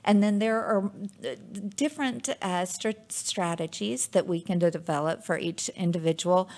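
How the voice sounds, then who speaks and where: A woman with a Texan accent, a skin care specialist talking peels in an upbeat, lively way on a chat show. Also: moderate pace. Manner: upbeat, lively, self-described high energy. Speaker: esthetician guest. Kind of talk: casual chat.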